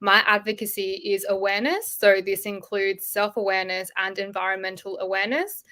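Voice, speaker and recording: 20s woman, flat steady intro, not much pitch movement. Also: a little fast. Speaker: pageant contestant. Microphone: video call.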